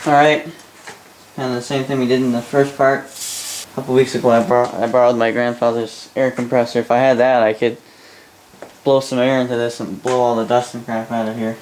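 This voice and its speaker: A man's voice: medium pitch, flat delivery, moderate pace, darkish tone, poor audio. A man giving casual hands-on commentary while tinkering, muttering to himself and trailing off. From Canada. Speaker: hobbyist radio repairer. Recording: home workshop noise, radio playing nearby.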